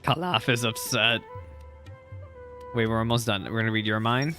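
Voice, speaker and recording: A man's voice: fairly low pitch, moderate pace, bright, forceful tone, soft background music. A man giving casual reaction commentary over a video game, chatting and reacting as the dialogue plays. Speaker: game streamer.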